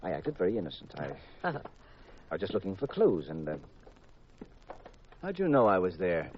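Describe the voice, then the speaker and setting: An actor playing an evasive, playful private detective husband in a 1940s radio drama, with a coy, hesitant delivery. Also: male voice, sits low, huge pitch swings, normal speed. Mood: sheepish. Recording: muffled, noisy old radio recording.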